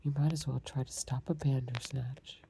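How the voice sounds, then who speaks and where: A woman's voice: very deep voice, steady tone, normal speed, creaky, dark tone, a little hiss or hum. A woman voicing a fussy, faint-hearted old king in a whispered ASMR storybook reading. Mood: fretful. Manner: whispered, fretful.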